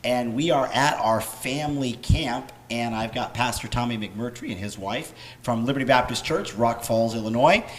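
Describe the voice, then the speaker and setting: A man with a hoarse, worn-out voice, a pastor hosting a question and answer panel in a halting, casual way. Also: mid-range voice. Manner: plain, halting, many fillers. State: hoarse, lost his voice. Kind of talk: casual church panel talk.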